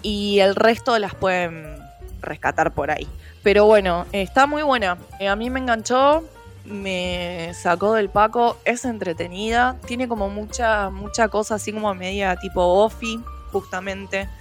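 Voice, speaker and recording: A woman's voice: mid-range voice, measured pace, faint music behind. A woman with a Rioplatense Spanish accent, co-hosting a casual podcast chat, slangy and full of fillers. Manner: chatty, slangy, frequent fillers. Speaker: podcast co-host.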